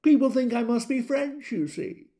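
A male actor puts on a smug male colleague for an audio drama. The voice is raised high and swoops about. He is affected and pleased with himself, feigning modesty. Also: expressive, moderate pace, mellow. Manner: affected, self-satisfied. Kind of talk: mimicry within an audio drama monologue.

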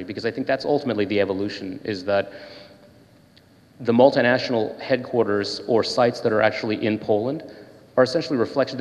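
A man in his thirties or forties speaking off the cuff as a panelist into a handheld microphone. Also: on the low side. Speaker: panelist, tech executive. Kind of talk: unscripted panel remarks. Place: panel table in brick-walled indoor hall. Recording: echoey hall.

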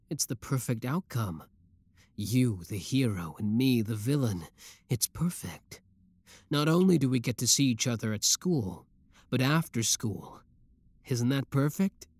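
A man's voice: mid-range voice, a little mellow, dry recording. A man playing an obsessive, lovestruck young schoolboy villain in a roleplay audio drama, talking intimately to the listener. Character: shy schoolboy turned smug, obsessive yandere young villain.

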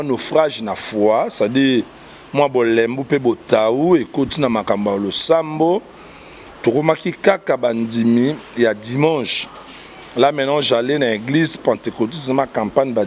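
A man's voice: mid-range voice, slight background noise. A man in his fifties from Kinshasa with a Congolese French accent, a pastor explaining at length in an interview in a church hall. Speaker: pastor and artist guest. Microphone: handheld mic held by host.

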